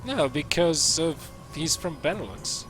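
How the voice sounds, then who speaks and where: A man's voice: fairly high pitch, animated, a little slow, low-quality recording. A man from Slovakia casting a video game match in a casual, chatty way, with many fillers and restarts. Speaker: esports caster. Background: faint music.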